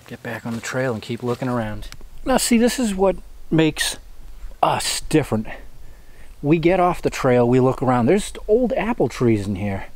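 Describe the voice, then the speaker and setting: A man's voice: medium pitch, darkish tone, poor audio. A man in his forties thinking aloud with curiosity as he hikes through a forest, talking to a body-worn camera outdoors. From New England. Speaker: hiking vlogger. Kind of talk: casual walking narration to camera. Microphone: body-worn action camera.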